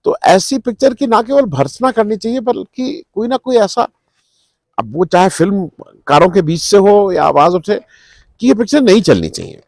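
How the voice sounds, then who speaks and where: High-pitched, animated man giving political commentary. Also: moderate pace, no echo, studio quality. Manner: conversational, explanatory, Hindi mixed with English words. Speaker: news commentary host. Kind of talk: unscripted political commentary, explaining to viewers.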